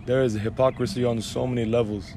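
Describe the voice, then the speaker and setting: A man's voice: medium pitch, flat delivery, brisk, music in the background. A man from Algeria, a guest, speaking in an assertive, emphatic, speech-like way. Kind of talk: conversational interview.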